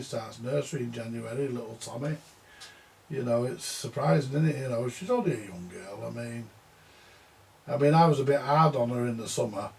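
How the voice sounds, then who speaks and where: A man's voice: mid-range voice, creaky, a little mellow, rough recording. An older man who repairs vintage audio gear, rambling and grumbling in a casual monologue, repeating himself and swearing now and then.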